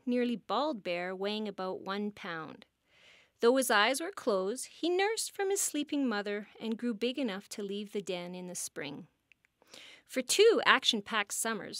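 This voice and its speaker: A woman reading a scripted educational story as a park interpreter, with expressive, deliberate phrasing suited to families. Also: on the high side, dry recording, studio quality.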